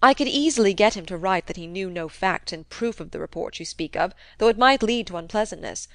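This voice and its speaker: Woman voicing a sulky, polite young gentleman. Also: mid-range voice, expressive, brisk, no room sound. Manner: stiffly polite, subdued.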